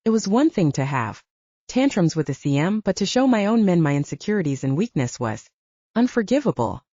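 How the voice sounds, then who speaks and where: Woman, audiobook narrator, lively pitch swings, slightly low voice, dry dull recording. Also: fairly quick, dry recording. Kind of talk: scripted first-person fiction narration.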